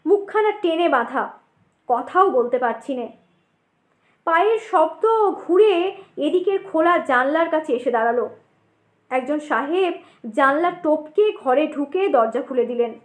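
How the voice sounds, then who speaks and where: A woman reading a scripted ghost story as an audiobook narrator, expressive and suspenseful, with deliberate pauses. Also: sits high. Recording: roomy echo.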